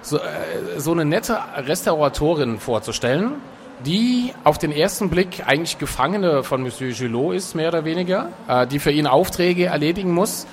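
Man, interview guest, casual chatty talk, frequent fillers, slightly high pitch, faint background noise. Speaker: author and guest.

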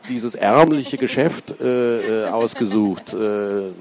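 A man's voice: fairly low pitch, takes it slowly. A man hosting an interview in a conversational way with hesitant fillers. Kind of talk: interview questions.

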